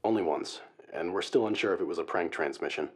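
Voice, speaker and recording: Hostage negotiator, very low voice, brisk pace, formal, serious, radio drama interview. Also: male voice. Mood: measured, concerned. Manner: formal, analytical. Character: measured male crisis negotiator, guarded and serious. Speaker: guest expert, hostage negotiator.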